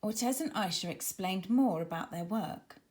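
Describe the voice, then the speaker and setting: Expressive woman narrating a children's storybook. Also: mid-range voice, normal speed. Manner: dramatic, expressive, aimed at children. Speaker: author reading her own children's book.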